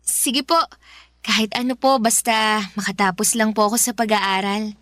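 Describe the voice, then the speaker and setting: A woman's voice: medium pitch, moderate pace, fairly bright tone, dry recording, clean studio sound, lightly acted. An actress playing a dutiful, polite young daughter who hides her hurt, speaking with a Filipino accent in radio drama style. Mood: respectful, hopeful. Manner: polite, deferential.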